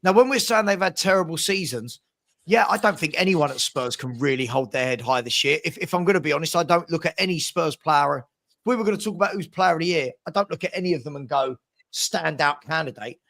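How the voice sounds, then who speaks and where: A man's voice: fairly high pitch, gravelly, fairly bright tone. A man co-hosting a football fan show, arguing his point in a heated casual debate, repeating himself to drive it home. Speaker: co-host of a fan podcast.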